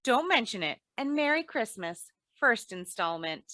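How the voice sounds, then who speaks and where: An actress playing a shrewd, affectionate, long-suffering wife with dry, teasing wit in a 1940s-style radio comedy. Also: female voice, a little high, very animated, normal speed, bright, forceful tone, dry recording. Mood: indulgent, teasing. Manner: dry, teasing comebacks with homely endearments.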